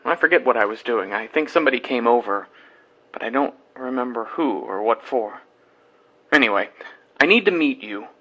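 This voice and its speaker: A man's voice: medium pitch, expressive, moderate pace, sharp tone, breathy edge, dull audio. An actor playing an earnest male scientist leaving hesitant, apologetic, matter-of-fact voicemail messages in a fiction podcast. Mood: distracted, businesslike. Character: earnest scientist man phoning in urgent, puzzled voicemails.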